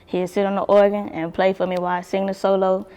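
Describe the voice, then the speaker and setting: Gravelly-voiced young woman. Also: medium pitch, little pitch movement, quick pace, dark tone, big-room sound. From Baton Rouge, Louisiana. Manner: laid-back, swears freely, laughs often. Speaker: singer, interview guest. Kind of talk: casual interview chat.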